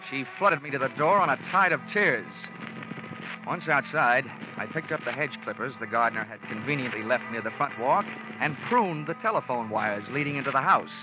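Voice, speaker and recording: An actor playing a wisecracking hard-boiled investigator. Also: male voice, mid-range voice, lively intonation, normal speed, natural voice. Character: wisecracking male insurance investigator, dry and sardonic. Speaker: narrator and lead detective. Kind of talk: 1950s radio drama acting. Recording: dull old radio recording with music.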